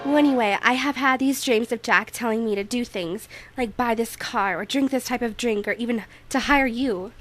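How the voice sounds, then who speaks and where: A wistful, troubled magician's assistant played by a woman speaks in a fairly high voice, hesitant and earnest. It sounds like a noir radio drama client, with music underneath. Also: normal speed, hardly any pauses, a bit bright, natural voice. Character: troubled, wistful young female magician's assistant seeking help.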